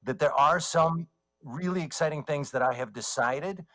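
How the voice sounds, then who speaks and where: A man's voice: a little high, a bit bright. A man chatting casually as an interview guest, joking and often starting his sentences over. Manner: joking, halting with false starts. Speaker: radio theater writer and voice actor, interview guest. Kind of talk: casual chat.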